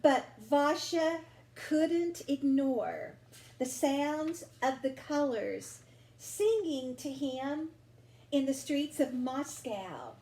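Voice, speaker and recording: A woman reading a picture book aloud expressively, with dramatic, deliberate phrasing and emphasis on vivid words. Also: sits high, animated, dark tone, echoey room, low-quality recording. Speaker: storybook reader.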